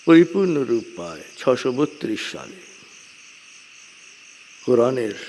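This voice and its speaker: Gravelly man lecturing in a reverberant hall. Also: medium pitch, a little mellow. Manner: deliberate, short phrases with frequent pauses. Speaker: religious speaker. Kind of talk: measured religious lecture, partly scripted narration. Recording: long hall reverb, clear background noise.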